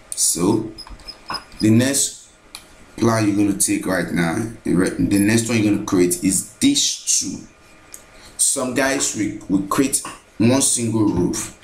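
A man narrating a screen-recorded software tutorial, thinking aloud as he repeats each step. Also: fairly low pitch, echoey room, low-quality recording.

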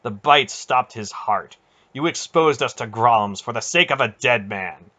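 A man's voice: medium pitch, animated, measured pace, bright, forceful tone. A man voicing a stern, imperious ancient sorceress in an audiobook, commanding and cutting, with wounded intensity. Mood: cold, flat. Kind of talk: dramatic audiobook character voice.

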